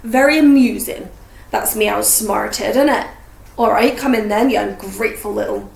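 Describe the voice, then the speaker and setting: A woman voicing a huge, grumpy man with gruff, rustic speech in a storybook reading. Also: fairly high pitch, a little slow, distant mic. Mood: grumpy, sarcastic. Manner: gruff, loud, rustic dialect wording. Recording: roomy echo, faint background noise.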